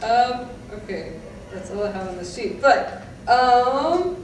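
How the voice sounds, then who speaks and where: A woman's voice: fairly high pitch, expressive, slow. A woman asking questions in a casual, slightly hesitant, informal interview style. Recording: noisy, echoey room, distant mic.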